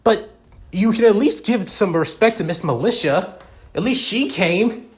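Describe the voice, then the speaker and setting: A man's voice: medium pitch, animated, normal speed, lightly acted. A man voicing a furious, grieving son of twenty in a told story, bitter, defiant and emphatic in a profane rant. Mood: exasperated anger.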